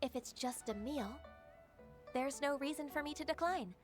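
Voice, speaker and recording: Woman acting a high-voiced, gentle game secretary. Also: moderate pace, over music, close to an everyday voice. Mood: gracious. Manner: courteous, reassuring, formal. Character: gentle, modest, reliable female secretary and mentor. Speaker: video game character. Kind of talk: dubbed game dialogue acting.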